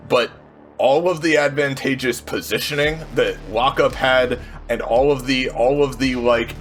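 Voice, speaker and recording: A man's voice: medium pitch, a bit bright. A man playing a tabletop role-playing game, thinking aloud, repeating himself and swearing casually as he talks through his moves and narrates his character.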